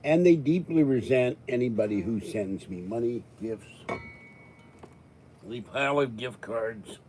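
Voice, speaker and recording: An older American man hosting his own channel, talking in a rambling, casual way with jokey asides and frequent throat-clearing. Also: mid-range voice, unhurried, mellow, a little hiss or hum. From Pittsburgh, United States. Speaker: YouTube channel host. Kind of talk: rambling casual monologue to viewers.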